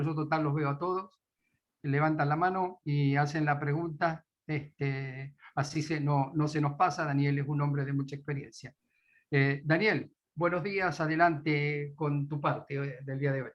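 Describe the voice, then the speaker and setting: Argentine guy hosting a church meeting, lots of ums, kinda muffled recording. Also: on the high side. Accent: Argentine Spanish. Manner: hesitant, many fillers. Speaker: meeting host.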